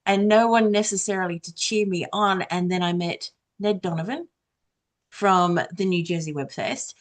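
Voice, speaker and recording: A woman podcaster chatting casually on a panel, enthusiastic and quick to giggle as she talks. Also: on the low side. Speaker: audio drama podcaster, former radio worker.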